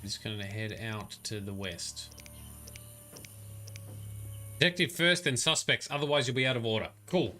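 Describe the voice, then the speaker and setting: Brief: male streamer, bright tone, chatty and self-correcting, playing along with chat. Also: medium pitch, animated, moderate pace, long pauses, faint music behind. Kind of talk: live gameplay commentary.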